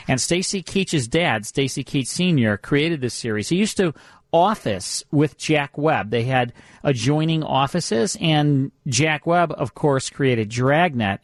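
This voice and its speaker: A man's voice: fairly high pitch, dry recording. A man hosting a radio show with casual banter and program previews, joking at his own expense in rambling asides. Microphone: close studio microphone.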